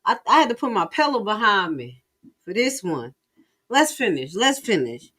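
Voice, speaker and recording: A woman's voice: medium pitch, big pitch swings, normal speed, bright, forceful tone. An American woman reacts live as a stream host. She talks casually and colloquially, stretching words for emphasis and exclaiming often. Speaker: livestream host.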